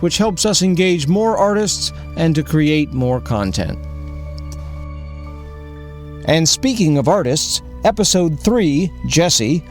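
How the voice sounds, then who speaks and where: A man with a fairly high voice delivers a scripted podcast outro. Music plays behind him as he announces the show and reads the credits.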